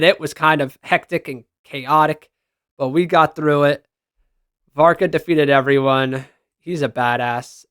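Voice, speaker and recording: Enthusiastic man, slightly high voice, dry sound. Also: a bit bright, no room sound. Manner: enthusiastic, slangy, exclaiming. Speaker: gaming video creator reacting to screenshots. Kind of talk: casual reaction commentary.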